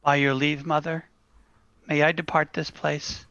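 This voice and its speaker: A man acting a troubled young shepherd in a fantasy script read. He talks slowly, haltingly and earnestly, with a dry, dull, muffled sound. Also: fairly high pitch, little pitch movement, pushed and bright, no echo. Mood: anxious, questioning. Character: troubled young shepherd refusing his heroic destiny. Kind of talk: dramatic screenplay table read.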